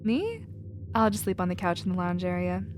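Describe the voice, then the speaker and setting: A woman playing a protective, nurturing female alien with a casual, expressive manner in a sci-fi ASMR roleplay. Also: fairly low pitch, fairly quick, noisy background. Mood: tender, bubbly.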